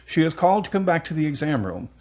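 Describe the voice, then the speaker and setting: A man's voice: on the high side, big pitch swings, quick pace, a little mellow. A man reading scripted scene narration for an audio drama.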